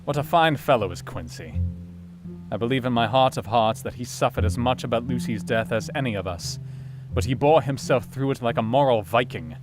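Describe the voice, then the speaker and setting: A man's voice: mid-range voice, lively intonation, a little fast, music in the background, lightly acted. An actor playing a sober, compassionate Victorian doctor, narrating his diary reflectively in an audio drama. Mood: sombre, admiring.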